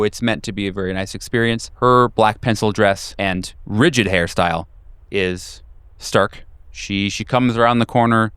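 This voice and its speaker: A man's voice: lowish voice, a bit bright, clean tone, no echo. A man working as game master, narrating scenes in a tabletop horror game.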